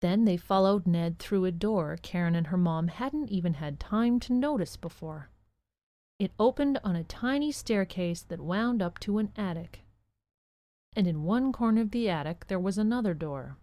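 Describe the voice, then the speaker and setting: A woman narrating an audio drama as a gentle, earnest storybook storyteller. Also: mid-range voice, lively intonation, moderate pace, no echo, lightly acted. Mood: gentle storytelling. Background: music.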